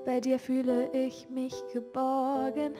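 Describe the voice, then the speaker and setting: High-voiced woman singing slowly over music. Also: little pitch movement. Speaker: singer. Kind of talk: singing a slow, simple love song. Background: loud musical accompaniment.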